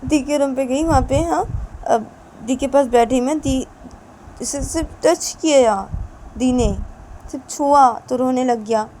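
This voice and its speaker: A woman voicing an anxious young father who scolds and fusses in a shrill, high voice. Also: steady tone, moderate pace, gravelly, long pauses, dark tone, a little hiss or hum, rough recording. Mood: complaining.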